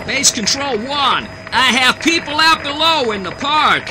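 An actress playing a military helicopter pilot in an audio drama, reporting in clipped radio protocol. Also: female voice, sits high, expressive, a little slow. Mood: businesslike, alert. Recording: loud vehicle noise behind.

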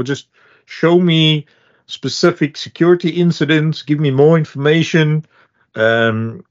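A middle-aged man talks casually about technology as a co-host on a video call. His voice sits at an ordinary pitch. The audio is a little dull, as if captured in a home office. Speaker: tech show co-host, security specialist. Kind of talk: casual tech discussion.

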